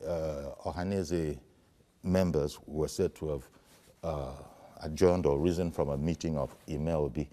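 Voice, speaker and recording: A man's voice: very deep voice, fairly even intonation, unhurried, dark tone, in a large hall. A man hosting a morning news show, asking probing, polished questions in a studio. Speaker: TV news host.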